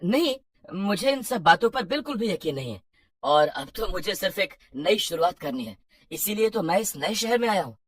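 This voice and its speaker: Woman as a polite, husky-voiced young man. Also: mid-range voice, sing-song, normal speed, no echo. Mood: defensive. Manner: deferential, hesitant. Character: polite, anxious young working man seeking a flat. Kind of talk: animated horror story dialogue.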